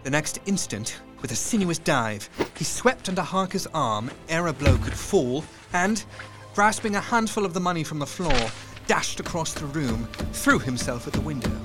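A man's voice: a little high, animated, over music. Man narrating a tense audio drama. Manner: tense, measured storytelling with hushed dips.

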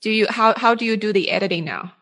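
A woman conducting a casual interview, phrasing her thoughts haltingly and repeating words as she goes. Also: mid-range voice, a bit bright.

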